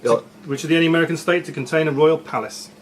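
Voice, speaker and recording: A man's voice: fairly high pitch, rapid-fire, slight background noise. A man reading out quiz questions as quizmaster and ruling on the answers. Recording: music playing behind the voice. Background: music.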